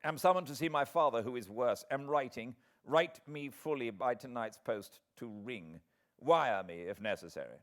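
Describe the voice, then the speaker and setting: A British man playing a worried, earnest gentleman writing to an old friend, in an audiobook reading. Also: medium pitch, animated, normal speed, voice pushed up. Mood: urgent. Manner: anxious, earnest. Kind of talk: dramatised audiobook letter reading.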